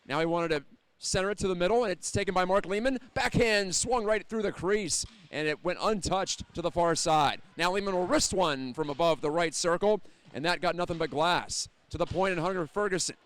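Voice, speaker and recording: A man's voice: high-pitched, fairly quick, a bit bright. An American man doing live play-by-play hockey commentary, describing the action in detail as it unfolds.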